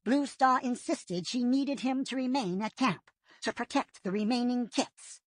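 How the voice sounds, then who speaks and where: A woman voicing a calm, authoritative senior tomcat warrior in a storybook reading. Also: fairly high pitch, measured pace, no echo, voice pushed up. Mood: firm. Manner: firm and authoritative.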